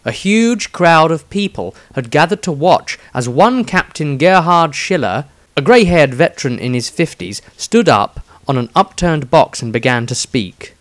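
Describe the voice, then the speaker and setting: A man's voice: fairly high pitch, lively intonation, unhurried. Man solemnly narrating a fantasy tale. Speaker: author narrating own story. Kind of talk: scripted dramatic fantasy narration.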